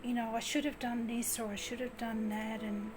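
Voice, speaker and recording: A woman with a naturally quiet voice giving a gentle tarot reading, talking softly with pauses. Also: on the high side, flat delivery, over music. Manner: gentle, soft, slow and hesitant. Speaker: tarot reader. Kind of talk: soft-spoken tarot reading.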